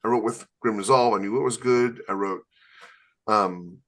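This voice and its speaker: A man's voice: fairly low pitch, moderate pace, a bit bright. Candid reflective man, podcast co-host. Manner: candid, reflective, self-deprecating. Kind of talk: casual podcast discussion.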